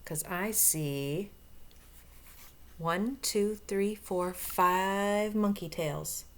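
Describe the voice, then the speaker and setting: A woman's voice: medium pitch, very slow. A woman reading a picture book aloud to young children, expressively, with explanations and questions along the way. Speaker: storybook reader.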